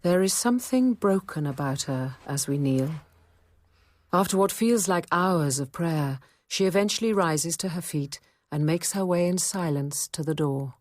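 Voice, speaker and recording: Low-voiced, thoughtful nun. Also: female voice, animated, no room sound. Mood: sober, narrating. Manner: quiet, earnest, measured. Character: thoughtful, discreet nun and convent apothecary. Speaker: narrator and lead character. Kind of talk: radio drama, first-person narration and dialogue.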